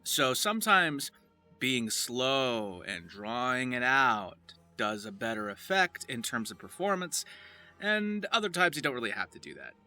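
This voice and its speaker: A man with a very bright, forceful voice gives a loose, informal tutorial as a voice actor. His pitch moves around in a lively way as he rambles encouragingly. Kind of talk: loose, casual instructional talk.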